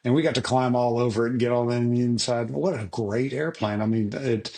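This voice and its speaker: A man's voice: mid-range voice, fairly even intonation, brisk, mellow. A middle-aged man hosting an aviation podcast, folksy and enthusiastic, with many restarts. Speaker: podcast host. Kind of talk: casual interview chat.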